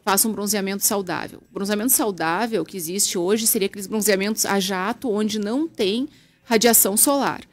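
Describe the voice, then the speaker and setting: A woman dermatologist from southern Brazil, guesting on a radio show and calmly explaining skin care. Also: medium pitch, brisk. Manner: calm, explanatory. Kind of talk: radio interview. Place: radio studio.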